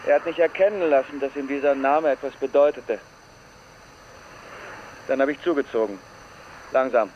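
An actor in a radio play voicing a haunted, avenging man who confesses gravely in short, measured sentences. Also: medium pitch, muffled recording, slight background noise, lightly acted.

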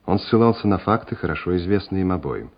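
A man's voice: low voice, expressive, fast. A man narrating a war radio play in a dramatic, scripted style. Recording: muffled, noisy old radio recording.

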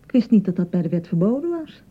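A woman's voice: mid-range voice, big pitch swings, fast talker, slightly rough. A woman acting a touchy, hurt and unsure young newlywed bride in a radio drama, defensive and hesitant in manner. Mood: sulky, defensive. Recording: dull, slightly muffled 1990 radio broadcast.

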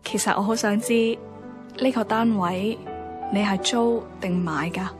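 A woman's voice: medium pitch, fairly even intonation, measured pace, close to an everyday voice. A woman acting as an earnest young woman reading a heartfelt letter in a radio drama, with a reflective, sincere Hong Kong Cantonese delivery. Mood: earnest, resolute. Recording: music behind the voice.

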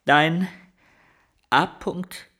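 A man's voice: on the high side, extremely slow, pushed and bright, a little hiss or hum, full character voice. An actor playing a man reading a letter aloud in a radio drama.

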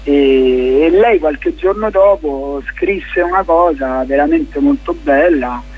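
A man giving reflective interview answers as a guest playwright, haltingly, searching for his words. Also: fairly high pitch, darkish tone, low-quality recording. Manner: halting, searching for words, many fillers and restarts.

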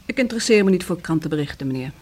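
A woman's voice: fairly low pitch, animated, very fast, a little mellow. An actress playing a proud, defiant divorced woman in a radio play, speaking formally and with icy hauteur. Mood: cool, haughty. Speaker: radio drama actor.

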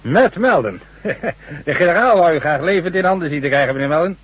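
High-voiced brisk army sergeant. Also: male voice, animated, husky, no room sound. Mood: smug, formal. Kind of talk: radio drama acting. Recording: dull radio recording, faint background noise.

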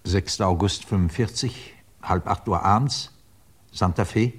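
An actor playing a hard, urgent accuser in a 1950s radio drama, in clipped commanding phrases. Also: male voice, low voice, a little slow. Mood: urgent, triumphant. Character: hard, urgent accuser seizing on his proof. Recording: noisy, clicking old radio recording.

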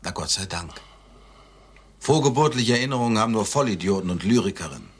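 Low-voiced pompous psychiatrist. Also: male voice, lively intonation, fast, breathy edge. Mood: clinical, brusque. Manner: clinical, blunt questioning. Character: brusque, pompous male psychiatrist. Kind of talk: satirical radio play acting.

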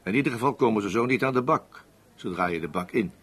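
A lowish voice for a sober man of few words in a radio play. He is probing and sceptical, with a terse, questioning delivery. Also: expressive, fast, a bit bright, slightly rough. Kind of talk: naturalistic Dutch radio play acting.

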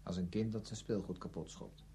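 An earnest confidant telling his story in a radio drama. He has a deep, dark voice and a careful, literary delivery. A faint hum sits in the recording. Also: fairly even intonation, brisk. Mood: concerned, probing. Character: earnest male friend, probing, narrating his discovery. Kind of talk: Dutch radio play acting and narration.

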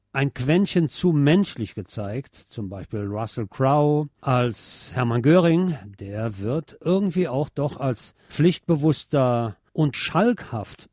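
Mellow male radio host, dry studio sound. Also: medium pitch, dry recording. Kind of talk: film review monologue on radio.

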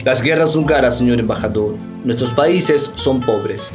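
An actor playing a deferential, calculating government official in a radio drama. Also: male voice, mid-range voice, measured pace, music in the background, lightly acted. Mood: calculating, hesitant. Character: obsequious, calculating male South American government official.

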